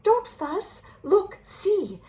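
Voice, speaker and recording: A woman voicing a tiny fussy mouse in a high squeak. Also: big pitch swings, very slow, mellow, full character voice. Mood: soothing.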